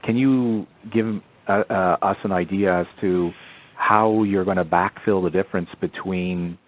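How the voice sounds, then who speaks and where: A man's voice: low voice, fairly even intonation, unhurried. A man asking questions as an analyst on a conference call, speaking hesitantly and circling back to rephrase, over a muffled phone line.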